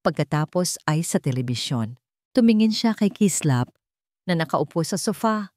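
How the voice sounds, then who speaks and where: A woman's voice: fairly low pitch, lively intonation, normal speed, a little mellow, no echo, studio quality. A woman narrator reading a dramatic scripted story.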